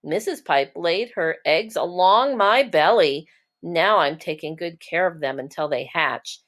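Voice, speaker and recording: A proud storybook father fish, played by a woman reader, speaks in a cheerful, satisfied way. The delivery is slow and plain, suited to small children. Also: lowish voice, a bit bright. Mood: proud, upbeat. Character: proud, contented father fish minding his eggs. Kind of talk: children's storybook read-aloud.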